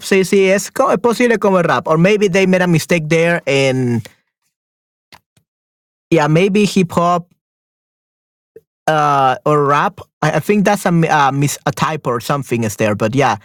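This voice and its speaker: A man's voice: fairly high pitch, measured pace, no echo, clean studio sound. A man teaching a Spanish lesson to an online group, reading quiz questions aloud and switching often between Spanish and English, repeating his affirmations. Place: online class, talking to students.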